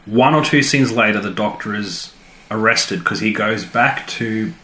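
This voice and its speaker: A man's voice: lowish voice, measured pace, sharp tone. A man co-hosting a podcast, bantering casually and playfully about a TV show and arguing his points. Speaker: podcast co-host. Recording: roomy echo, music underneath.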